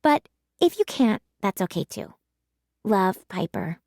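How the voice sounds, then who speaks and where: A woman's voice: medium pitch, very animated, slow, gravelly, a little mellow, dry recording, clean studio sound, heavily acted. A woman voicing an earnest, defensive young schoolgirl in a children's storybook, plain-spoken and pleading. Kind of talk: children's storybook read-aloud.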